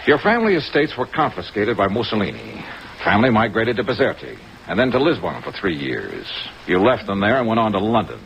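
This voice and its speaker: Cynical American investigator, low swooping voice, hard-boiled radio drama style, muffled old recording under rain. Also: male voice, wildly swooping pitch, a little fast, creaky, fairly bright tone, slight background noise, exaggerated voice. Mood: relentless, matter-of-fact. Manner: wry, insinuating, hard-boiled. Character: cynical, needling American male insurance investigator. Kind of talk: 1950s radio drama acting.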